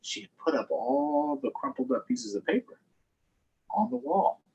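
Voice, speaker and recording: A man with a slightly rough, husky voice hosts a children's storytime. His pitch moves up and down freely as he reads aloud and speaks straight to his young audience. The delivery is expressive and conversational. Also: unhurried, highs cut off. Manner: addresses young viewers directly. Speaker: storytime host, councilmember. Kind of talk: children's read-aloud and live chat.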